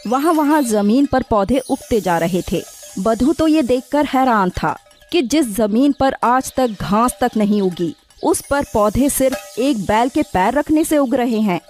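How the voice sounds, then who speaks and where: Female narrator for animated children's fables; higher-pitched, scripted storytelling over a music bed. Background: background music.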